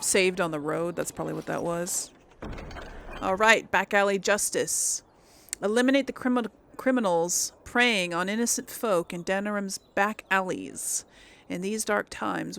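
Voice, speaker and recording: A woman's voice: on the low side, vocal fry, a bit bright. A woman doing casual let's play commentary, informal and thinking aloud as she goes. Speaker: game streamer. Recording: faint game sounds behind voice. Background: video game ambience.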